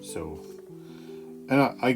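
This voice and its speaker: A man chatting casually in a halting, rambling, profane way. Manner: halting, profane, frequent throat-clearing. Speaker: amateur stand-up comedian. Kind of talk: rambling casual vlog chat. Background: guitar music.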